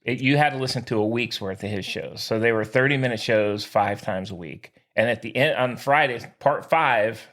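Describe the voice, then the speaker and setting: A man hosting a podcast, bantering casually in an excitable, animated way. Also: medium pitch, moderate pace, hardly any pauses. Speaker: podcast host.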